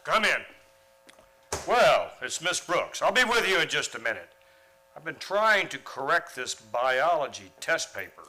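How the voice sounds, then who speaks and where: A man's voice: a little high, very animated, measured pace, pushed and bright, exaggerated voice. A man playing an earnest, mild-mannered biology teacher in an old-time radio comedy. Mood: earnest, dismayed.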